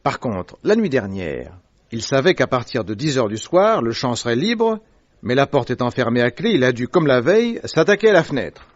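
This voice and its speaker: Here is a man performing a commanding detective in an old-style radio play. He speaks crisply and sure of himself, letting his pitch rise and fall with every point. The sound is dull and lo-fi, like an old radio recording. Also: very animated, moderate pace. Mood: explaining. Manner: crisp, assured, explains step by step. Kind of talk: radio drama acting.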